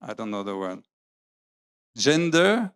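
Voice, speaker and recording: A man's voice: fairly low pitch, sing-song, slow pace, fairly bright tone, clear, resonant tone. A man giving a talk in English, hesitating often, searching for words and restarting his sentences. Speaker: graphic novelist giving a talk. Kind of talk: public talk with slides. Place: lecture venue.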